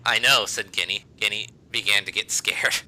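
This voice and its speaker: A man's voice: lowish voice, steady tone, normal speed, bright, forceful tone, low-quality recording. A man reading fan fiction aloud as a podcast host, amused and hamming up the emphasis. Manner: breaks into laughter while reading, hammy emphasis.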